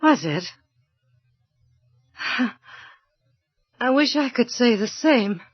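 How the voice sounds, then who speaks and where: A slightly muffled recording holds a neglected, embittered wife in a radio-style drama. Her voice is high and she draws her words out slowly. Her delivery is biting and sarcastic. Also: expressive, slightly rough, faint music behind, exaggerated voice. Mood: sullen. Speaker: actor in an audio drama. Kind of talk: audio drama acting. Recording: dull lo-fi audio.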